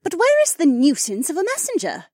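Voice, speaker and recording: A woman playing a sweet-voiced, brisk and teasing phoenix wife in an audiobook. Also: extremely high pitch, huge pitch swings, darkish tone, dry recording, studio quality, full character voice. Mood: chatty, teasing. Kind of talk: audiobook character dialogue.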